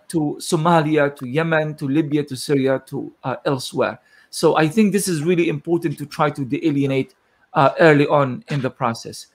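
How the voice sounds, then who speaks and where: Earnest man from Gaza, slightly high voice. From Gaza, Palestine. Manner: earnest and measured, with frequent fillers and throat clearing. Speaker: guest speaker on a panel. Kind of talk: speech in an online panel discussion.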